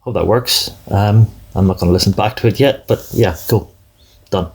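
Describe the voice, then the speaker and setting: A man with a very deep voice. He speaks in a plain, casual way as a game show contestant explaining himself. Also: normal speed, a little mellow, breathy edge.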